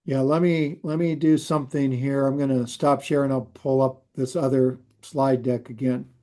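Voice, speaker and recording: A man presenting water rates to a council over a video call, halting and full of restarts and fillers. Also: medium pitch, flat delivery, warm tone. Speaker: utility rate consultant. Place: remote, joining by video call.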